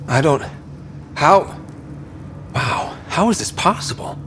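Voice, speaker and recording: A man playing an impulsive, wry young space explorer in a video game, with casual, expressive delivery. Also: on the high side, takes it slowly, a little hiss or hum. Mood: stunned. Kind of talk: scripted game dialogue.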